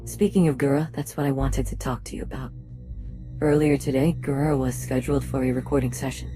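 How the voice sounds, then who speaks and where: A woman's voice: rumbling low, fairly even intonation, brisk, music in the background, low-quality recording. A woman acting as a pompous, sarcastic, self-important female company manager in an audio drama. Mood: stern, accusing.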